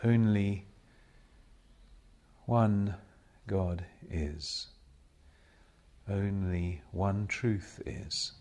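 A man leading a guided meditation as a spiritual teacher, speaking in measured, solemn short phrases with long pauses between them. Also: sits low, steady tone, darkish tone. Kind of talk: slow guided meditation talk.

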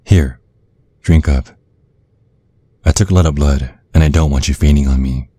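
A man playing a tender, protective vampire boyfriend in an ASMR roleplay, speaking in a soothing, coaxing, affectionate way with a husky voice. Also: very deep voice, normal speed, warm tone. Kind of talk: ASMR roleplay, intimate soft talk.

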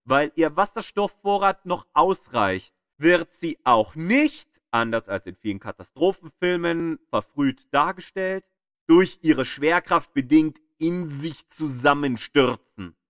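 A man narrates an educational script in a fairly high voice. His melody moves up and down in a lively way, and he takes his time with each phrase, telling it all like a story with dramatic flourishes. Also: slow pace, dry recording, studio quality. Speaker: narrator of an astronomy audio tour.

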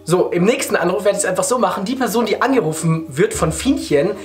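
Lively young man hosting a prank video. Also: sits high, fast talker, faint music behind. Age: 20s. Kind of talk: casual talk to camera. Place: small living room, indoors.

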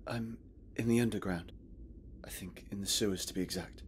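A man voicing a panicky young man trapped underground in a horror game, halting, stammering and pleading. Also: fairly low pitch, fairly even intonation, slight background noise, natural voice. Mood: uneasy.

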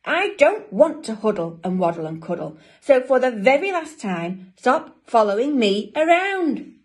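A woman's voice: medium pitch, expressive, takes it slowly. A woman voicing a grumpy young male storybook penguin, indignant and emphatic. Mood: fed up.

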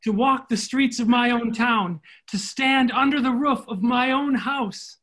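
A man's voice: extremely high pitch, measured pace, fairly bright tone, heavily acted. A middle-aged man playing a devoted, romantic army captain in a stage comedy, earnest and theatrical. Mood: joyful. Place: indoor room, recorded over video call.

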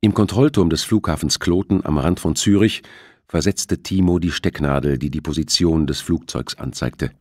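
Very deep, husky male narrator. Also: moderate pace, a little mellow, no echo, clean studio sound. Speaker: audiobook narrator. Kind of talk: scripted thriller novel narration.

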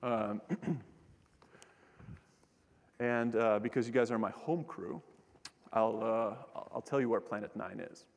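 A man's voice: on the low side, slow pace, vocal fry, long pauses. A young man giving a casual public science talk from a lecture hall stage, joking and restarting his sentences with many fillers. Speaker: keynote speaker, astronomer. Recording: long hall reverb.

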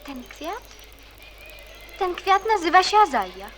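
Guarded, high-voiced teenage schoolgirl played by a woman. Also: big pitch swings, measured pace, fairly bright tone, slight background noise, exaggerated voice. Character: guarded, stubborn teenage schoolgirl, quietly hopeful. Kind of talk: radio drama acting.

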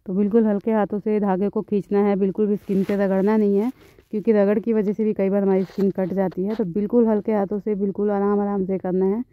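A woman beautician calmly explaining eyebrow threading step by step, as she works, often repeating her phrases. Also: medium pitch, fast, dry recording, narrow, tinny audio. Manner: calm, instructive, repeats phrases. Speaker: beautician, channel host. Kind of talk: step-by-step tutorial narration.

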